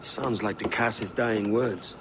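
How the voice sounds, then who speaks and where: A man's voice: on the low side, steady tone, slow, background noise. A man playing a cocky, roguish young pirate captain in a video game cutscene. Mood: sardonic. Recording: loud music behind dialogue.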